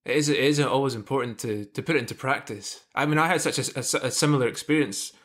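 A man in his thirties with a middle-pitched voice hosts a podcast. He speaks in a casual, conversational way over a video call from an indoor room. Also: fast talker, a bit bright. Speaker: podcast host. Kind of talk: casual interview chat. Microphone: wired earphones on video call.

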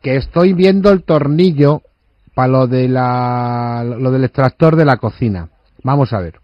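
A man's voice: mid-range voice, measured pace, no echo, lo-fi sound. A man with an accent from Spain making a prank call, insistent and repetitive, interrupting and talking over the listener as he tries to explain. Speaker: prank caller posing as a kitchen fitter. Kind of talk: phone prank, improvised conversation.

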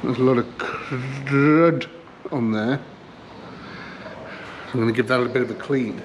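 A man's voice: mid-range voice, a little slow, roomy sound, a little hiss or hum, poor audio. A man, chatting casually to camera and to himself while he works on an old car. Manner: chatty, relaxed, talks to himself while working. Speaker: classic car restoration vlogger. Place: garage or barn workshop.

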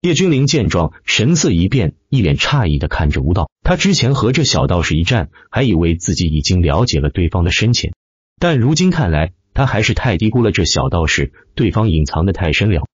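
A man's voice: low voice, very animated, fairly quick, slightly rough, no echo, muffled recording. A man narrating a web novel as an audiobook in a dramatic, emphatic, theatrical style.

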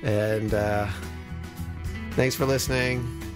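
A man hosts a podcast in an informal, conversational way. His voice sits at an average pitch for a man. He speaks encouragingly, as if chatting directly to his listeners. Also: fairly even intonation, takes it slowly, over music. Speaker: podcast host. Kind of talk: casual podcast talk.

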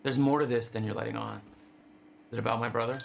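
An actor playing a down-to-earth, skeptical hero with a gravelly voice and dry, casual delivery in a cinematic video game. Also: male voice, medium pitch, steady tone, fast, a little hiss or hum. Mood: guarded, serious. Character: wry, skeptical male hero, loyal younger brother, down-to-earth. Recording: music behind the voice.

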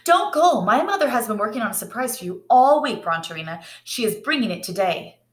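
An eager small girl who dances, out of a storybook, as a woman reader acts her out. The voice is raised high, urgent and pleading. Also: sing-song, a little fast, echoey room. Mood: pleading, excited.

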